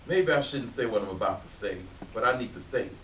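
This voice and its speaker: A man's voice: mid-range voice, animated, fast talker, natural voice. A man playing a laid-back, plain-spoken cook and caring family friend in a staged play reading. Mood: earnest, caring. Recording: echoey, hissy room from far off.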